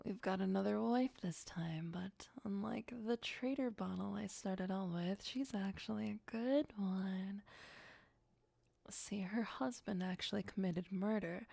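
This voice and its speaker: An ageless female vampire played by a woman murmurs as an obsessive, darkly playful girlfriend in an ASMR roleplay. Her voice is soft, breathy and often whispered, drawn out at an unhurried, very slow pace. She coaxes sweetly with menace underneath, very close and dry on the mic. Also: mid-range voice, no room sound, full character voice. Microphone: close, dry microphone.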